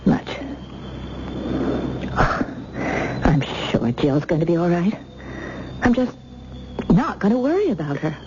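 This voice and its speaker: A woman's voice: lowish voice, sing-song, takes it slowly, pauses often. An actress playing an anxious, devoted captive wife and mother in a radio mystery drama, nervous and emotional, repeating herself. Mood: nervous, soothed. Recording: muffled old radio recording, clear noise.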